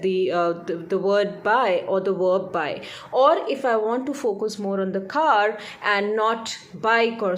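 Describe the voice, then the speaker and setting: Female communication coach, mid-pitched voice, informal teaching delivery with emphasis on key words and frequent check-ins. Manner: stresses key words, often checks with "right?". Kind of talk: informal instructional explanation.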